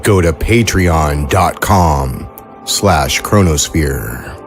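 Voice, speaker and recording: A man hosting a fiction podcast, reading a scripted intro in a measured, announcer-like way. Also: a bass voice, expressive, over music. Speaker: podcast host.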